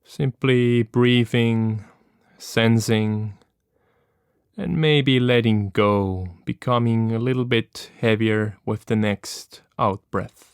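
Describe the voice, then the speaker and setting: A man with a smooth, clear voice guides a meditation at a very slow pace. His delivery is gentle and calm, leaving long pauses between instructions. His pitch is medium and the sound is close and dry. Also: dry recording.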